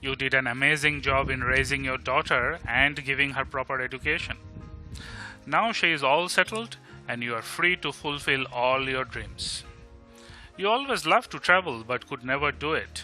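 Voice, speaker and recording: A man's voice: mid-range voice, a little fast, sharp tone, soft background music. A man with an Indian Bengali accent playing a supportive, joking old bachelor friend in an audio drama, switching between English and Bengali. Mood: encouraging. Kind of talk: bilingual audio drama acting.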